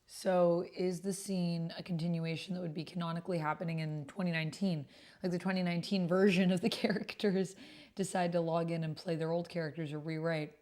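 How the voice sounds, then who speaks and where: A woman with a slightly low voice hosts a casual livestream. She moves between reading messages and prompts aloud and commenting on them in a chatty, conversational way. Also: fairly even intonation, fairly quick, darkish tone. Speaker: livestream host and tabletop roleplay player.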